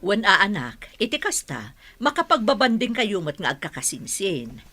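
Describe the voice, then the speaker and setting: A woman's voice: mid-range voice, big pitch swings, normal speed, bright, forceful tone, slightly rough, heavily acted. A woman playing a sociable village aunt and mother in a radio drama. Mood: sympathetic. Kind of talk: Ilocano radio drama acting.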